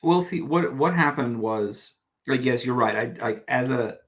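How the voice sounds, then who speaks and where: A man's voice: mid-range voice, lively intonation, measured pace. A man in his thirties from New Zealand, with an accent blending New Zealand and American, chatting as a guest with lots of self-deprecating restarts. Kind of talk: casual chat.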